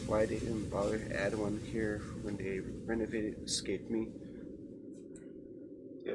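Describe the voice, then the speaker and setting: Low-voiced man reading over loud noise. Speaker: let's play host. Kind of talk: reading game text aloud with casual asides. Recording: very noisy home recording.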